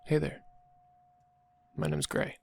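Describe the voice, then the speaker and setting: An actor playing a friendly but subtly sinister dental receptionist in an audio roleplay, casual and polite, with a husky, gravelly voice. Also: male voice, fairly high pitch, completely flat delivery, takes it slowly, no echo, heavily acted. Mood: friendly, businesslike. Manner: casual, polite, quietly insinuating. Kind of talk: intimate audio roleplay acting.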